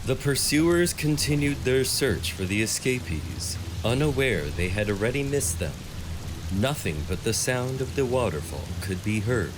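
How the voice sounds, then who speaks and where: A man's voice: medium pitch, animated, measured pace, noisy background. A man narrating a scripted action story in a dramatic style. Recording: music under the voice.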